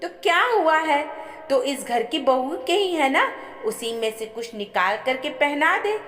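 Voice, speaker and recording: A woman's voice: high voice, expressive, a little slow, fairly bright tone, soft background music, pitched above the performer's own voice. A woman storyteller voicing a brusque, miserly middle-aged mother-in-law, scolding and impatient. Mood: scolding, dismissive. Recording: echoey with long reverb.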